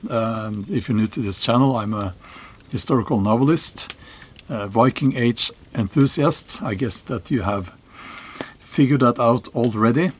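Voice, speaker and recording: A middle-aged Norwegian man speaking English with a Norwegian accent, giving a slow, reflective monologue to camera, with pauses and fillers. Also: medium pitch, a little hiss or hum. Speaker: channel host, historical novelist. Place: small cave in a forest.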